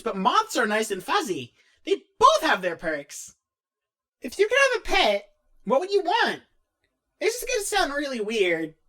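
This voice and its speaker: A kind-hearted, awkward young character played by a woman with a high, bright voice. The delivery swings widely in pitch, casual and rambling, earnest and easily flustered, like an in-character chat with fans. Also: heavily acted. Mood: casual, upbeat. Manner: hesitant, rambling, flustered, encouraging. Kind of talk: in-character question-and-answer, casual improvised-sounding chat.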